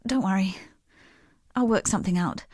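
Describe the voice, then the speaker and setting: An actress playing a grieving young woman exile in a video game, speaking in an earnest, subdued, halting way. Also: medium pitch, darkish tone, dry recording, clean studio sound, natural voice. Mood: pleading, resigned. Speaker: game non-player character. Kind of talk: dramatic video game voice acting.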